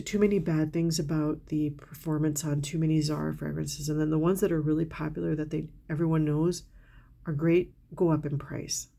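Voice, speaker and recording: A middle-aged woman talks casually and candidly to her viewers about perfumes she bought. Her voice sits low and mellow with a gravelly edge. She sounds a little congested from a cold, and she is openly enthusiastic. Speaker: perfume reviewer, channel host. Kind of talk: casual product haul talk to camera.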